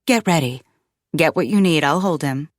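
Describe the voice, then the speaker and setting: Woman voicing a calm, low, soothing man. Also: takes it slowly, no echo, clean studio sound. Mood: reassuring. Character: calm, soothing, supportive man, gentle and forgiving. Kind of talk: dramatized audiobook dialogue.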